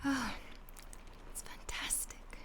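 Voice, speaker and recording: A woman playing an ancient, detached vampire stalker in an intimate audio roleplay, with a breathy voice that often drops to a whisper and a languid, teasing manner. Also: slow pace, sharp tone. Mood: hungry, savoring. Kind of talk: intimate audio roleplay, ASMR-like acting addressed to the listener.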